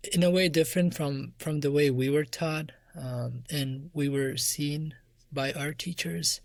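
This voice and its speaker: A man with an Afghan accent speaks softly at a medium pitch. He answers as an interview guest in a reflective style. The sound is dry, with hardly any room in it. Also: no echo. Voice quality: soft voice. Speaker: interview guest, musician and music teacher.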